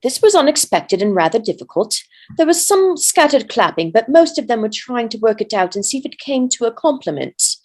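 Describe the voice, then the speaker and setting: A woman reading a fantasy story aloud as a volunteer, in measured narration. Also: fairly high pitch, sing-song, darkish tone. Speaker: volunteer reader.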